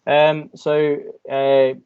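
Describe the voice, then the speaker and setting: A South African man speaks in a steady, level tone, giving his answers to an online interview in a measured, reflective way. He often pauses on ums and restarts. The audio is a little muffled, as over a video call. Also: fairly high pitch, smooth. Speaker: invited speaker. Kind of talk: online masterclass Q&A.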